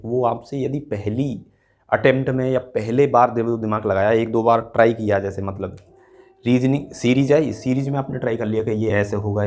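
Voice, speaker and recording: A man's voice: medium pitch, a little mellow. A man with a North Indian accent giving informal exam coaching advice, repeating his points and often adding short check-in tags. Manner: repetitive, often checks in with short tag phrases. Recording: music playing behind the voice.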